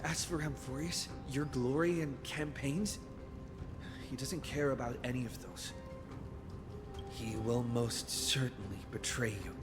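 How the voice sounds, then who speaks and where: A man performs a young hero in a dramatic game scene, resolute and solemn. His medium-pitched voice is measured and declarative, ringing with long reverb over loud music. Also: natural voice. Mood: grave, warning. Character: young male hero, earnest, resolute and defiant. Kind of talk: dramatic video game voice acting.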